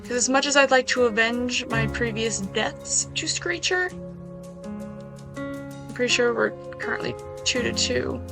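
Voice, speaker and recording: Slightly hoarse woman chatting over gameplay. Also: fairly high pitch, a little slow, music in the background. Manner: chatty, wry asides to herself. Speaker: gaming video creator. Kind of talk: casual gameplay commentary.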